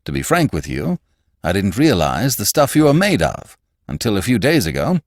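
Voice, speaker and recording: A man's voice: lowish voice, wildly swooping pitch, moderate pace, dry recording, clean studio sound, pitched above the performer's own voice. A man voicing a genial, fussing older magazine publisher in a storybook, with a hearty, cajoling, emphatic manner. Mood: persuasive.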